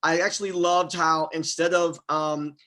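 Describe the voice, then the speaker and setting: Bright-voiced man, halting and repetitive. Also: fairly high pitch, level tone. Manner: halting, rephrases and repeats words. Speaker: audience member giving feedback. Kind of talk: casual spoken praise. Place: video call. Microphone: video call microphone.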